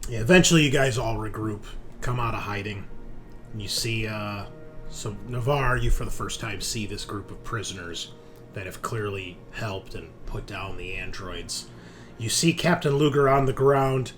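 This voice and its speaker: A man's voice: lowish voice, normal speed, a bit bright, faint music behind. A man narrating a tabletop roleplaying game as game master, informal and improvised, sometimes projecting and sometimes muttering. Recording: faint room noise.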